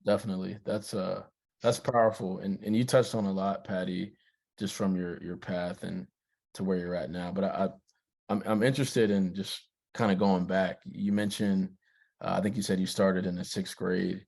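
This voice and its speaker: A man hosting a podcast, asking relaxed, thoughtful interview questions. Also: deep voice, normal speed, creaky. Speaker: podcast host.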